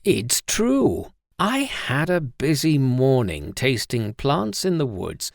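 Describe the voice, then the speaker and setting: Slow, creaky Shen Nong, legendary herbalist. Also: male voice, mid-range voice, huge pitch swings, no room sound, clean studio sound, exaggerated voice. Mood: proud. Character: Shen Nong, bold, comical ancient herb-tasting hero.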